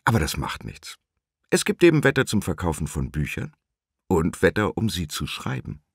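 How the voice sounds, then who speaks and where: A lonely village bookseller from a children's storybook, read by a man. His voice is very deep, with a gentle, thoughtful manner. The recording is dry and close. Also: sing-song, normal speed, vocal fry, husky, dry recording, heavily acted. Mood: wistful.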